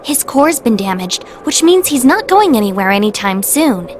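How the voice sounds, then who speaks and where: A woman voicing a bossy, sharp-tongued small magical creature in a video game, with a blunt, exasperated manner. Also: a little high, very animated, normal speed, faint music behind. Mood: stern, blunt. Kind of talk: dramatic video game voice acting.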